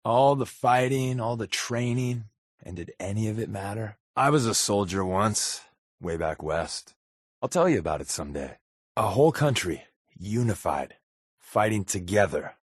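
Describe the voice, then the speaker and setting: An American man playing a wisecracking, sly undercover agent in a video game, talking in casual quips with a deadpan, sardonic manner. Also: lowish voice, moderate pace, breathy edge, no echo, studio quality. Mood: musing, deadpan. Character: wisecracking, sly adult male undercover agent, habitual fibber. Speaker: companion character in a video game.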